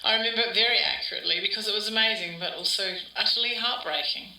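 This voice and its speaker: A woman's voice: mid-range voice, fast talker, pushed and bright. A woman playing an older woman who rambles wistfully through childhood memories in a conversational, interview-style podcast drama. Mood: reminiscing. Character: wistful older Australian woman reminiscing about her small-town childhood. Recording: roomy echo, distant from mic.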